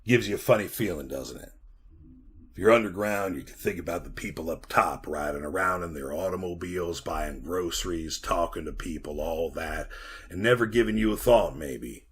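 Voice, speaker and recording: An actor playing a weathered, folksy Montana copper miner who rambles to a listener in a retro radio drama monologue. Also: male voice, low-pitched, normal speed, breathy edge. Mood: folksy, wry. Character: weathered bearded Montana copper miner, 34, haunted yarn-spinner.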